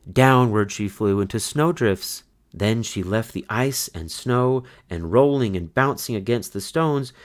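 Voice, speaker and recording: A man reads a children's story aloud in a low voice with very animated pitch. He phrases things deliberately, leaving dramatic pauses as a storyteller does. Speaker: storybook narrator.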